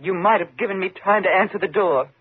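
A woman's voice: mid-range voice, fast, husky, dry recording, phone-quality sound. An actress playing a frightened single woman in a 1950s radio suspense drama, tense and dramatic in her delivery. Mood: indignant, shaken. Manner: tense, dramatic, faltering when flustered.

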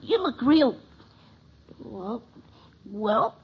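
An actor's performance of a boyish, awkward, excitable schoolboy who blurts and stammers, in a 1950s radio sitcom. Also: mid-range voice, very slow, husky, full character voice. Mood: sheepish, earnest. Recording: dull, faintly noisy old radio recording.

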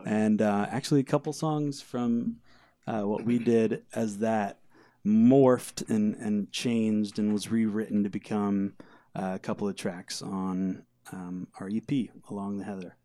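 A man's voice: lowish voice, fairly even intonation, unhurried, warm tone. A man talking casually and in a rambling way as a band member in a group interview. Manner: rambling, easily sidetracked.

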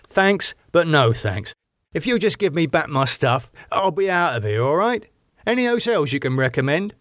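A man voicing an agitated, defiant man, pitched up. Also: big pitch swings, normal speed, fairly bright tone, no room sound, clean studio sound. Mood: sarcastic, defiant. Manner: stammering, sweary, snapping outbursts. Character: agitated, defiant man, haunted and bitterly sarcastic. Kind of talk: audiobook character dialogue.

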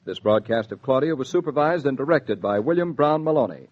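A husky American man announcing in a folksy, scripted 1940s radio style. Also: medium pitch, animated, fairly quick. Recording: dull 1940s radio transcription.

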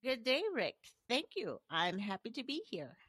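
A middle-aged woman from Nigeria, a company founder and guest, answering interview questions in a measured way with frequent fillers. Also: on the high side, very animated, fairly bright tone, slightly rough, dry recording, clean studio sound.

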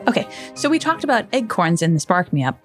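A woman's voice: mid-range voice, big pitch swings, fast, faint music behind. A woman co-hosting a podcast, telling stories in a casual, chatty way and acting out what people said. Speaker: podcast co-host. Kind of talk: casual conversational storytelling.

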